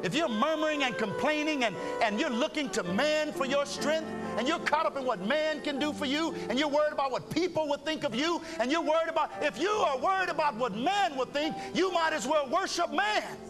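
A man preaching an impassioned sermon, pleading with long drawn-out phrases. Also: extremely high pitch, expressive, over music.

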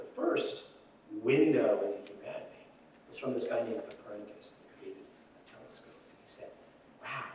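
A man's voice: mid-range voice, darkish tone, a little hiss or hum. Man, middle-aged, keynote speaker, emphatic, repeats key lines, distant, heavy hall echo. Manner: emphatic, quick asides, repeats key phrases. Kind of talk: conference keynote talk. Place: dark conference ballroom on stage. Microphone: room PA picked up by a far camera. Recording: very echoey hall, distant, dull sound.

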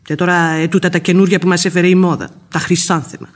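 Husky, outspoken old housekeeper played by an actress. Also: female voice, on the low side, flat delivery, close to an everyday voice. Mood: grumbling. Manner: chatty, folksy, talking back with proverbs. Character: outspoken, grumbling Spanish village housekeeper, old nanny. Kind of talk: Greek radio theatre acting.

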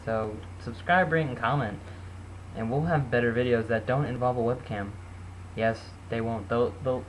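A man's voice: mid-range voice, slight background noise, low-quality recording. A teenage boy rambling in a self-mocking way on a webcam in a small bedroom. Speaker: vlogger. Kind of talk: casual webcam vlog.

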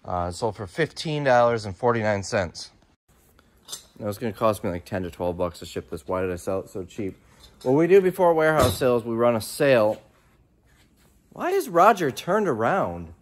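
A man's voice: mid-range voice, very animated, moderate pace, slight background noise. A man in his thirties chatting casually as a reseller vlogger, rambling and thinking aloud into a phone held at arm's length indoors. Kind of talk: casual vlog chat. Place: large warehouse and storage room, indoors.

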